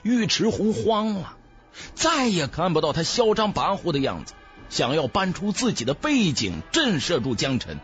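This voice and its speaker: A man narrating an audiobook in a dramatic, theatrical, expressive storyteller's manner. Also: fairly high pitch, moderate pace, lo-fi sound, faint music behind.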